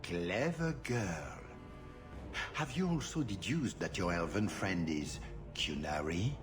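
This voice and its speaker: An actor playing a smug, menacing aristocratic villain in a video game, condescending and drawling. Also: male voice, sits low, expressive, takes it slowly, over music. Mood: smug menace.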